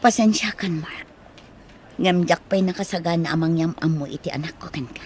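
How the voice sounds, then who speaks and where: A woman's voice: on the low side, moderate pace, a little mellow, slight background noise, close to an everyday voice. A woman playing a firm but forgiving married mother in a radio drama, with an Ilocano accent. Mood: apologetic, firm.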